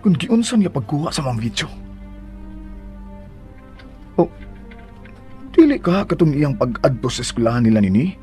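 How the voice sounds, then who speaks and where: An actor playing a devoted but firm husband in an expressive, melodramatic radio drama style. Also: male voice, medium pitch, normal speed, long pauses, faint music behind, close to an everyday voice. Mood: pondering. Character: devoted husband, affectionate at home, firm with rivals.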